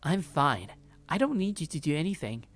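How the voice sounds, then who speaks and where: Woman putting on a high, sweet, sinister yandere. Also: sing-song, moderate pace. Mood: forceful. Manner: teasing, composed. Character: sly, unsettling yandere woman, falsely sweet and calm.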